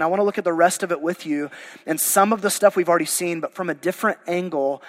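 A man's voice: high voice, long reverb tail. A male pastor, losing his voice, preaching a verse-by-verse sermon in a conversational, informal way. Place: church sanctuary.

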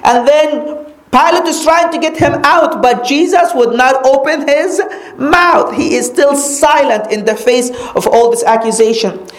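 A woman whose mother tongue is Arabic teaches scripture in sermon style. Her voice sits slightly high and rings out in an echoey room. She leans on repetition, slowing down to stress the key phrases. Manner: emphatic, repeats key phrases with slow dramatic pauses. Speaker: Bible teacher.

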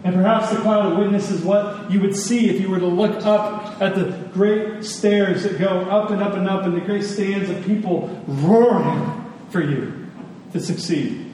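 A man's mellow, somewhat high-pitched voice rings through a very echoey hall. He preaches earnestly, reading scripture and explaining it with emphatic repetition and dramatic pauses. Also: steady tone. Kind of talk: expository sermon with scripture reading. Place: large reverberant indoor hall. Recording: distant, echoey large hall, faint noise.

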